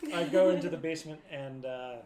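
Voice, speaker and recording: A woman's voice: deep voice, fast talker, over a noisy crowd. A woman playing a tabletop roleplaying game, chatting casually with the group. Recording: echoey room with other voices.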